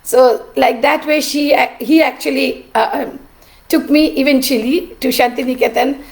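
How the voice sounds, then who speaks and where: A middle-aged woman, an artist and teacher telling her story in a cracked, rough voice, pausing often with "uh". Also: sits high, fairly even intonation. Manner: halting, with many "uh" pauses. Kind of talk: personal storytelling.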